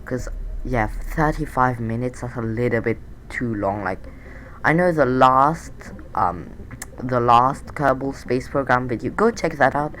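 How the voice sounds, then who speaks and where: A man's voice: mid-range voice, unhurried, a bit bright, a little hiss or hum, poor audio. A man with a sore throat and a nasal voice, rambling through casual game commentary with many fillers and restarts. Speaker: gameplay commentator.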